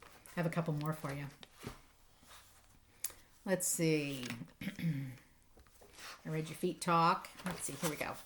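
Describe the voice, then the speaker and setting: Playful woman reading poems, slightly low voice. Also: slow, pauses often, slight background noise. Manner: playful, animated, emphatic on sound words; encouraging, enthusiastic. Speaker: teacher reading poems to pupils. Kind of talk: expressive poem reading and casual chat to children.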